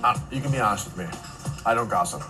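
A man's voice: on the low side, fast talker, over loud music. A man in reality TV clips who talks defensively and dodges questions. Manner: defensive, evasive. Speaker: reality TV cast member. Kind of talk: reality TV dialogue and confessional.

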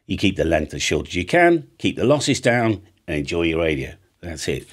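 A man's voice: deep voice, very animated, normal speed. An older man with a British accent giving a casual, off-the-cuff tutorial, chatty and blunt, often thinking aloud. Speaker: radio hobby video presenter. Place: home music studio room with drum kit. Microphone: large studio microphone on an arm, close by.

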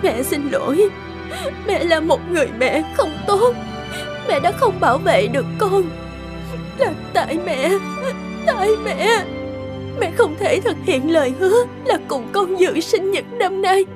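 High-voiced grieving cartoon mother. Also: animated, unhurried. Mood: tearful, overwhelmed. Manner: plaintive, emotional. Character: grieving, guilt-ridden young mother, fragile and tearful. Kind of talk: melodramatic cartoon drama acting. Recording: studio voice under loud music.

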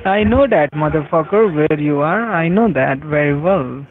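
A man's voice: a little high, expressive, normal speed. A man with an Indian accent on a phone call, arguing in a crude, taunting, foul-mouthed way. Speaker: phone scammer. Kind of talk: heated phone argument. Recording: tinny narrowband phone line.

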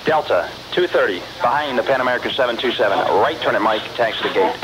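A man's voice: mid-range voice, expressive, fast talker. A man working as an air traffic ground controller, giving clipped, procedural taxi instructions over aviation radio.

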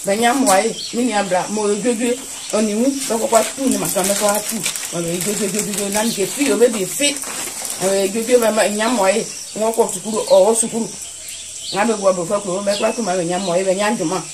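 A woman's voice: medium pitch, fairly quick. A woman speaking spontaneously and repetitively, as a villager in a deliverance ritual. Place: open-sided yard outdoors. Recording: noisy outdoor phone video, off mic.

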